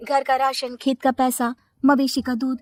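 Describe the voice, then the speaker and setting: A woman's voice: high voice, little pitch movement, a little slow, no echo. A woman voicing an innocent, honest young daughter-in-law in a cartoon story, speaking matter-of-factly. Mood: innocent, candid. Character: guileless, honest young daughter-in-law who cannot lie.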